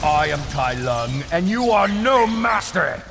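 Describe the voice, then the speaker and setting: Slow, drawling, high-pitched smug villain. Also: male voice, big pitch swings, creaky, over music, exaggerated voice. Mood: contemptuous. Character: smug, menacing cartoon villain sizing up his prey. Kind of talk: animated game voice acting.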